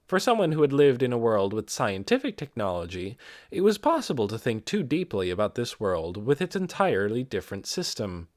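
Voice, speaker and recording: A man reading a fantasy novel aloud as scripted audiobook narration, with expressive storytelling delivery. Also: medium pitch, a little fast, no room sound, studio quality. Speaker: amateur audiobook reader.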